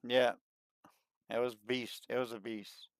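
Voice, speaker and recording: Excitable man in his thirties, smooth voice. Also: mid-range voice, slow pace, dry recording, clean studio sound. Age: 30s. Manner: excitable, repeats himself. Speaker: livestream host. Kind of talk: casual chat.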